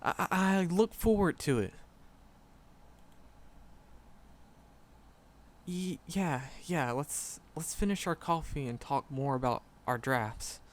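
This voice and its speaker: A man's voice: fairly high pitch, lightly acted. A man playing a shy, flustered, lovestruck magic professor in a roleplay audio drama, stammering and hesitant. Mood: relieved, happy. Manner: nervous, heavy stammering, hesitant, halting. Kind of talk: roleplay audio drama, speaking softly to the listener.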